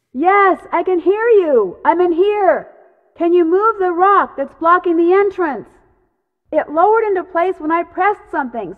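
A woman playing an upbeat, curious young female detective in a video game, youthful, perky and earnest, with clear diction. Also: sits high, dry recording, studio quality. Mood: urgent, encouraging. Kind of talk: scripted video game voice acting, thinking aloud.

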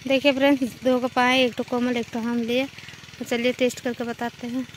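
A woman's voice: on the high side, little pitch movement, a little fast, slight background noise. A young woman vlogging on a phone outdoors in village fields at dusk, chatting to her viewers as friends. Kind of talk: casual walking vlog. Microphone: phone camera held in hand.

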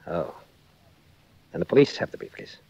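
An actor playing a terse, guarded, wealthy husband in 1940s radio acting style. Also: male voice, normal speed, dark tone, lightly acted. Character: guarded wealthy married man, reluctant businesslike client. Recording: muffled, lo-fi 1940s radio recording.

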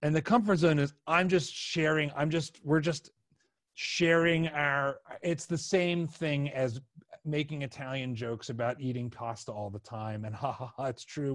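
A middle-aged man hosting a casual podcast, explaining ideas in a halting way as he thinks aloud. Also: mid-range voice, no echo. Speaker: podcast host, video editor. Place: indoor room, video call. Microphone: podcast mic on an arm, headphones.

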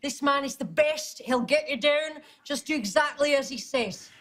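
An actor playing an earnest, helpful junior air traffic controller in a comedy sketch. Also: male voice, very high-pitched, normal speed, fairly bright tone.

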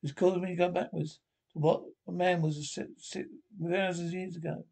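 A man acts out a troubled young man in a radio horror play, a test subject who stays resolute through horror. The voice is mellow and gravelly, and the lines come out haltingly. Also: high-pitched, fairly even intonation, heavily acted. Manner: halting, stumbling delivery. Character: troubled young man, son turned experiment subject, horrified yet resolute. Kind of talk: amateur reading of 1940s radio horror script.

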